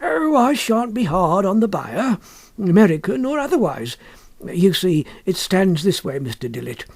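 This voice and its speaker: Male reader as wily shopkeeper, obsequious, high and swooping salesman's patter, colloquial, audiobook character. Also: very animated, moderate pace, creaky, heavily acted. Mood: wheedling, deferential.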